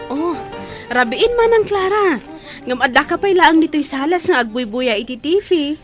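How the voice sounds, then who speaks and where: A woman's voice: sits high, animated, normal speed, music in the background. A woman playing a concerned, indignant young sister-in-law in an audio drama.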